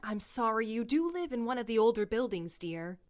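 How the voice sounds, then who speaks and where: A woman plays a genteel, kindly mayor in an audio drama. Her fairly high voice lilts widely, with a gentle, motherly politeness. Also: big pitch swings, normal speed.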